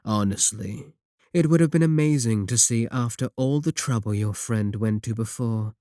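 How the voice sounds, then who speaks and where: A man as a slow-talking, sly evil warlock. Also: mid-range voice, dry recording, studio quality, natural voice. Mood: smug, taunting. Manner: taunting, drawn-out, deliberate phrasing with dark chuckles. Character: sly, sadistic evil warlock, smug and possessive. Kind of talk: scripted roleplay audio drama, spoken to the listener.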